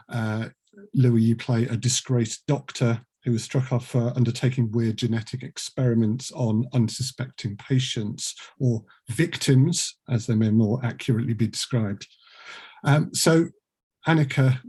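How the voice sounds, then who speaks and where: A man's voice: mid-range voice, darkish tone, breathy edge. A man hosting a podcast as a casual interviewer, wordy and wry, with a teasing manner. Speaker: podcast host and producer.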